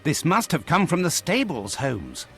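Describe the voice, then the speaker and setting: An actor playing an earnest, excitable Victorian gentleman, a detective's loyal companion, with a British accent and a formal, exclamatory delivery in an adventure game. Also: a little high, a little slow, soft background music, full character voice. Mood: alarmed, concerned. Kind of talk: scripted adventure game dialogue.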